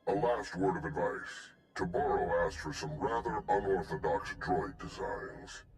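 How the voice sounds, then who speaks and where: A man's voice: deep voice, a little slow. An actor playing a vain, pompous male scientist and weapons designer in a video game. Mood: haughty, precise. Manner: pompous, haughty.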